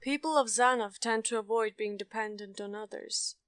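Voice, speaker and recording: A woman playing a bookish, deadpan, know-it-all strategist in an audio drama, speaking matter-of-factly. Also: a little high, moderate pace, clean tone, no room sound, close to an everyday voice. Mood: analytical.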